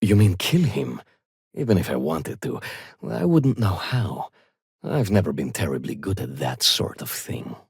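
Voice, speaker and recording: An actor playing a fussy, haughty luxury shopkeeper in a sci-fi video game, with a refined, expressive and snippy delivery. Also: male voice, deep voice, unhurried, husky, dry recording, clean studio sound, heavily acted. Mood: worried, earnest. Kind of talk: video game voice acting, short standalone dialogue lines.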